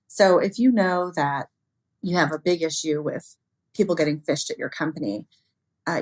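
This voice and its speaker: A woman speaking as an expert guest in an interview, explaining things in an informal, conversational way with everyday examples. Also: low voice. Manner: frequently chuckles, informal, illustrates with acted-out quotes.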